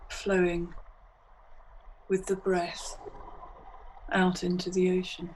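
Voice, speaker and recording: A woman leads a guided meditation at a very unhurried pace. Her phrases are short and hypnotic, set apart by long pauses, and her pitch stays flat and steady. An ambient soundscape and noticeable noise sit behind her voice. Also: poor audio. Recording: clearly audible background noise, ambient soundscape.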